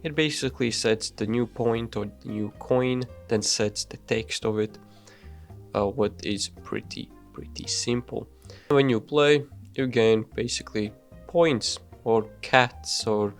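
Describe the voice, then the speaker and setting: Offhand, swearing young game dev. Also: male voice, lowish voice, faint music behind. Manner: loose and offhand, frequent swearing and fillers; self-deprecating, hurried. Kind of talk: casual voice-over devlog narration.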